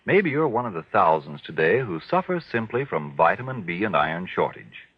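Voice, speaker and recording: Low, husky male radio announcer. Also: big pitch swings, moderate pace, no room sound. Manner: formal, emphatic, polished salesmanship. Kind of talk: 1940s radio announcing and sponsor pitch. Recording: muffled old radio recording.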